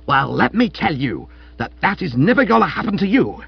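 Screechy, domineering wife. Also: male voice, fairly high pitch, big pitch swings, normal speed, vocal fry, husky, dry recording, dull audio, voice pushed up, exaggerated voice. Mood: scolding, furious. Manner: emphatic, scolding. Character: shrill, domineering, indignant middle-aged wife.

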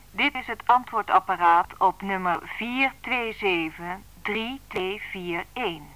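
Female answering machine announcer, polite, formal, mid-pitched, dry recording, radio drama. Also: fairly bright tone, no room sound, low-quality recording.

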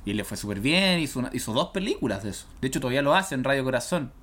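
A man's voice: medium pitch, sing-song, racing, a bit bright. A man co-hosting a comedy podcast, needling his partner in teasing banter.

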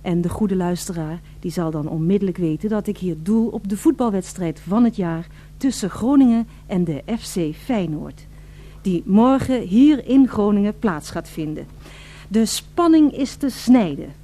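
A woman's voice: medium pitch, lively intonation, moderate pace, dark tone, lightly acted. An actress playing an eager, polite female radio reporter in a radio drama, with formal, florid and emphatic delivery. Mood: grand, enthusiastic.

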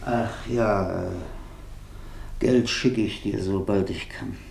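An actor playing a tired, debt-ridden middle-aged novelist in a German radio drama, world-weary, pleading and self-deprecating. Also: male voice, fairly low pitch, fairly even intonation, slow, vocal fry, a little mellow. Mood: weary, resigned. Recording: noisy vintage radio drama recording.

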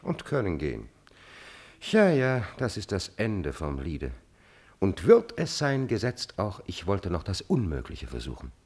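An actor playing a cunning, servile and coldly ambitious chamberlain in a classical drama, with an insinuating, theatrical delivery and a slightly husky voice. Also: male voice, deep voice, huge pitch swings, unhurried, a little mellow, heavily acted. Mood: goading, sly. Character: scheming male court chamberlain, servile, cunning, cold-bloodedly ambitious. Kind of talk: German classical stage drama acting.

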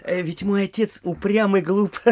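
An actor playing a vain, flighty young gentleman in a comic audio play, airy, affected and self-important. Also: sits high, fairly even intonation, normal speed, a little hiss or hum, close to an everyday voice. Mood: airy boasting. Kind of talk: theatrical comedy audio play acting.

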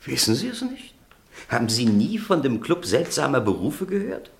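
A man's voice: medium pitch, sing-song, normal speed, creaky. An actor playing a suave, proud agency director in a German radio drama, talking in florid, courteous salesman's phrases.

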